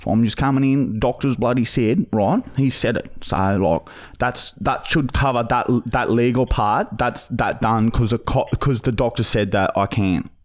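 A man playing a dodgy, pushy Australian bogan on a prank phone call, talking in halting, repetitive slang. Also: mid-range voice, close to an everyday voice. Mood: defensive, rambling. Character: dodgy, pushy young male bogan pestering for painkillers. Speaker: prank caller, podcast host. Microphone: close studio mic on boom arm.

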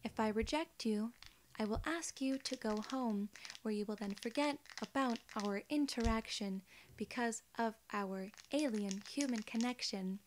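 A woman in her twenties voicing a polite alien gatekeeper in soft-spoken, measured, reassuring ASMR role-play. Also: a little high, slight background noise, lightly acted. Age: 20s. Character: polite, formal female alien gatekeeper at a wormhole.

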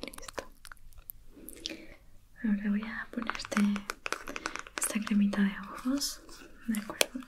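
A woman speaking in a breathy whisper, gently soothing the listener as a spa beautician in an ASMR roleplay. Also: mid-range voice, steady tone, takes it slowly, pauses often, poor audio. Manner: gentle, soothing, intimate, reassuring. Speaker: ASMR video creator playing a spa beautician.

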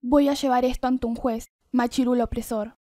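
A woman's voice: on the high side, fairly even intonation, moderate pace, dark tone, no echo, studio quality, close to an everyday voice. A woman playing an indignant, offended young female cadet in a comedic anime parody dub. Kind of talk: comedic fandub parody acting.

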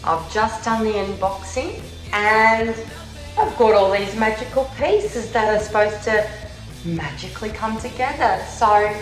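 A young Australian woman chatting through a product review vlog at home, bubbly and cheeky, sometimes breaking into little sung bits as she walks around her kitchen. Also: mid-range voice. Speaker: vlogger. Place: large modern kitchen and living room indoors. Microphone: phone camera on the bench, moving around the room. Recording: roomy echo with faint music.

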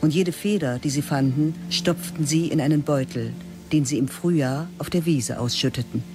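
A narrator speaks in clipped, matter-of-fact sentences at an ordinary middle pitch. Faint music runs underneath. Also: moderate pace. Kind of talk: scripted narration.

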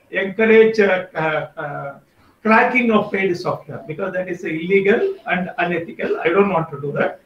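A man with an Indian English accent explains things in an online workshop, his speech halting and full of fillers. His voice sits high for a man. He sounds set back from the mic in a roomy, echoey space, as on a video call. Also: a bit bright, distant mic. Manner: hesitant, many fillers and restarts. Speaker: guest speaker on podcasting.

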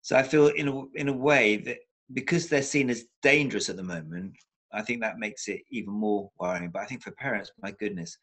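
An older man with a fairly low voice talks through a webcam on a video call from a room at home. He thinks aloud, circling back and repeating words for emphasis as he builds his point. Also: brisk, a bit bright. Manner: thoughtful, many restarts, repeats words for emphasis. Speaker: psychotherapist guest. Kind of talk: reflective video-call interview. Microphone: laptop webcam on a video call.

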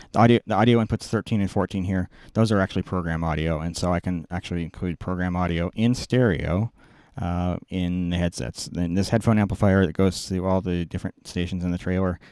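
A man's voice: deep voice, a little mellow, dry recording. A middle-aged man giving an informal technical walkthrough, explaining step by step and often correcting himself as he goes. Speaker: video production engineer giving a tutorial. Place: small indoor production control room. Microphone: close boom-mounted microphone.

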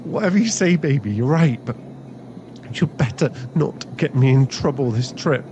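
A man's voice: medium pitch, expressive, normal speed, natural voice. A man playing a nervous, grumbling friend in an ASMR audio drama, hesitant and resigned to being bossed around. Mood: indignant. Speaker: voice actor in an audio roleplay. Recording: dull sound, clear cabin noise. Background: vehicle and cabin ambience.

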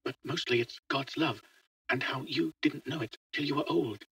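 A man's voice: mid-range voice, moderate pace, a bit bright, breathy edge, dry recording, far from the mic, pitched above the performer's own voice, low-quality recording. A man voicing a fearless, chatty eleven-year-old boy who talks simply and matter-of-factly in a story. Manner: simple, childlike, matter-of-fact. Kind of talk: audio short story dialogue.

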